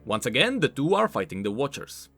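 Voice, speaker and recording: A man hosting a game lore video essay, explaining in an expressive, informal and emphatic way, scripted but with casual asides. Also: mid-range voice, fairly quick. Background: background music.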